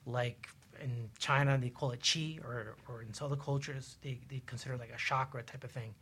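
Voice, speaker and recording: A man speaks as a panelist in a discussion show. His voice is husky and sits at an average pitch. He explains in a measured, didactic manner, working through examples. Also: steady tone, fairly bright tone.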